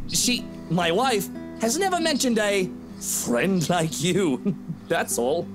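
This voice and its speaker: An actor playing a cold, dismissive wealthy gentleman in a visual novel, speaking in a clipped, condescending way. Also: high-pitched, lively intonation, slow pace. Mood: amused disdain. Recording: music behind the voice.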